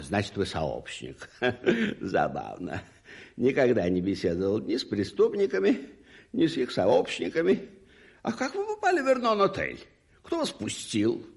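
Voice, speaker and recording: An actor playing a haughty, curious aristocrat in a radio drama, with theatrical, imperious questioning and a husky voice. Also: male voice, mid-range voice, wildly swooping pitch, unhurried, full character voice. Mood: amused, haughty.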